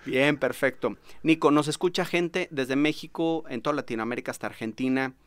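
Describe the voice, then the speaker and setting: A man's voice: medium pitch, normal speed, clean tone, no room sound. A man in his forties with a Mexican accent, hosting a conversational interview podcast at a studio microphone. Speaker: podcast host. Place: small padded podcast studio, indoors. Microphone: studio microphone with headphones.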